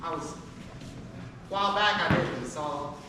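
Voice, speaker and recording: Woman, amateur presenter, halting read-aloud, heavy echo and clear background noise. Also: low voice, lively intonation. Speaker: presenter giving a class. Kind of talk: informal presentation read from a paper. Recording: echoey hall from far off, noisy.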